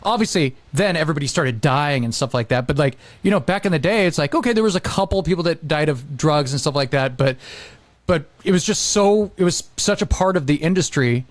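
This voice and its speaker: A man's voice: a little high, expressive, fairly quick, no room sound. A man chatting casually as a podcast host, telling stories and acting out quotes as he goes.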